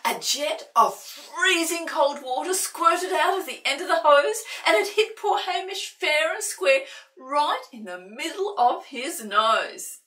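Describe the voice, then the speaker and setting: Bright, very animated woman storyteller. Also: sits high, slow. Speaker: children's storyteller. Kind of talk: expressive picture-book read-aloud. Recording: roomy, distant microphone.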